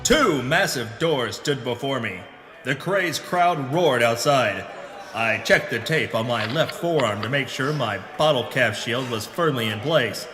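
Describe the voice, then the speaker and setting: A man's voice: medium pitch, expressive, natural voice. A man playing a pompous hard-boiled adventurer, narrating in the melodramatic style of a pulp radio serial. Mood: grave, suspenseful. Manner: melodramatic, grave, deliberate hard-boiled delivery. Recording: reverberant voice over background music.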